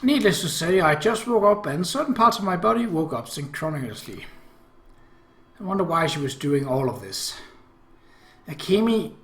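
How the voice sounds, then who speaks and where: Let's play host: animated delivery, wry asides, story read-aloud, roomy home recording. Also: mid-range voice, moderate pace. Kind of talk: casual game commentary mixed with story read-aloud.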